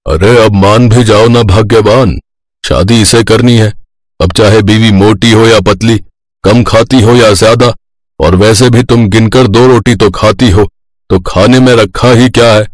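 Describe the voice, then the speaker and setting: A man's voice: on the low side, steady tone, a little slow, no room sound, natural voice. A man voicing a mild family man in a comic cartoon story, coaxing and appeasing. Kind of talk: dramatised cartoon story dialogue.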